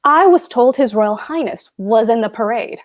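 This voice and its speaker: A woman's voice: fairly high pitch, animated, moderate pace, close to an everyday voice. A woman reading the part of a pompous, anxious husband, a fussy government clerk, at a table read of a stage comedy. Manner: pompous, hectoring, fretful.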